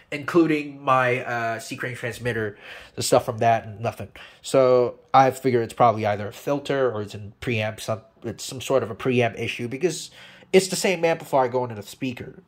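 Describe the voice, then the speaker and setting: A man talking casually and off the cuff as a hobbyist repairer, rambling and blunt, swearing freely. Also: medium pitch. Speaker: hobbyist radio repairer and video maker. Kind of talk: casual, unscripted repair update.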